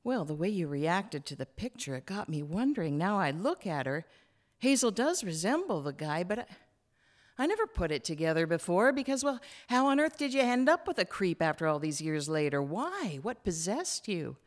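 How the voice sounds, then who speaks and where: Woman reading as gruff, tender grandfather, folksy. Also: on the low side, big pitch swings, normal speed. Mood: hurt, probing. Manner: folksy, plain-spoken, confiding. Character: gruff, tender grandfather in his late sixties. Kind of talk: acted literary reading.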